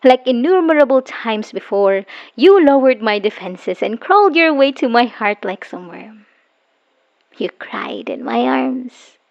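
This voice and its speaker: Dull lo-fi audio, actress playing a hurt bitter woman finally leaving her abusive partner, halting n emotional, asmr roleplay style. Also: a little high, expressive, gravelly. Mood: weary, wounded. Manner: emotional, halting, sighing, dropping into whispers. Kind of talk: dramatic ASMR audio roleplay monologue, voicemail confession. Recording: dull lo-fi voice recording.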